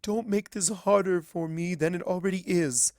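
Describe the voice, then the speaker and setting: A performer voicing a gentle but resolute pony princess in a measured, formal, regretful manner for a story reading. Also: mid-range voice, steady tone, dry recording, clean studio sound, voice pushed up, natural voice. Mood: pleading, regretful. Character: regal, sorrowful adult mare princess, gentle but resolute.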